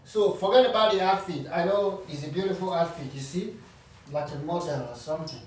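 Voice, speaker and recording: A man's voice: high-pitched, fairly quick, a little hiss or hum. A man giving casual advice to his channel's viewers, frank and opinionated, often restarting his sentences. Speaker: vlogger. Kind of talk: casual advice talk to camera. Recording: roomy echo, distant from the mic.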